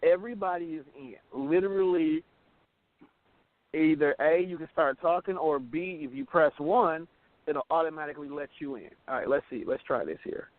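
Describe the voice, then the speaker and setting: Male radio co-host, American, notably high voice, informal and emphatic delivery, thin telephone-band recording. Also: moderate pace, no echo. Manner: colloquial, emphatic, repeats phrases for effect. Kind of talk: casual radio discussion. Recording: narrow phone-like sound.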